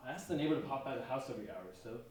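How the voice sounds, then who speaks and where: A man playing a stressed, fast-talking husband, very echoey. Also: mid-range voice, animated, a little mellow, natural voice. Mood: strained. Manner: hurried, dismissive. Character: stressed, defensive husband and father. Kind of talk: amateur stage play acting. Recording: very echoey stage, distant mic, faint noise.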